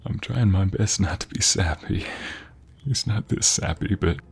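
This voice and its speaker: Behind soft music, a man acts out a doting, sappy husband in an intimate ASMR roleplay. His low voice is husky and breathy. He coaxes and teases his wife tenderly in murmurs and whispers. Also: a little slow, heavily acted. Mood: sincere, sappy. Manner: murmured coaxing with frequent sighs and breathy whispers.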